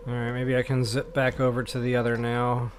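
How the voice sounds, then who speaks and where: A man's voice: medium pitch, monotone, fairly quick. Casual male game streamer, noisy recording. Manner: rambling, folksy slang. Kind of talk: casual live gameplay walkthrough commentary.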